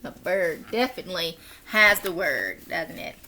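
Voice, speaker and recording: Lady reading a kids picture book out loud, bright voice, takes it slow and stretches words out. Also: on the low side. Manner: animated, drawn-out storytelling. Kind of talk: expressive children's read-aloud in two languages.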